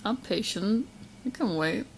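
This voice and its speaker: A woman's voice: mid-range voice, animated, a little slow, vocal fry, a little hiss or hum, poor audio. A young woman voicing a sweet, romantically obsessed witch girlfriend in an ASMR roleplay, playful and possessive as she speaks straight to the listener. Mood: playful, coaxing. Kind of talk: ASMR roleplay audio drama, spoken to the listener.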